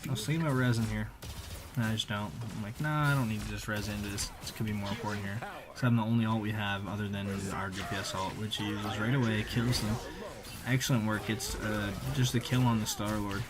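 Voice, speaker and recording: A man's voice: on the low side, fairly even intonation, fairly quick. A young man giving casual, slangy voice-over commentary on gameplay in a loose play-by-play way. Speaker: gaming video creator. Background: game music and effects.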